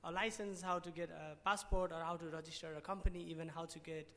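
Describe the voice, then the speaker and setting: A young man with a Nepali accent speaks in a fairly high voice from a podium at a conference. He stumbles and restarts often, and the long reverb of a big hall trails behind his words. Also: level tone, fast talker, a bit bright. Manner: hesitant, many restarts and fillers. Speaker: award presenter. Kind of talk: conference pitch talk. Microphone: podium mic.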